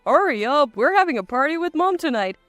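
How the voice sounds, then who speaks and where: A woman's voice: high-pitched, very animated, a little fast, fairly bright tone, no room sound, faint music behind. A woman voicing an arrogant, scornful husband in a dramatized story, with blunt delivery. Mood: mocking. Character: arrogant, scornful adult husband, quick to bluster.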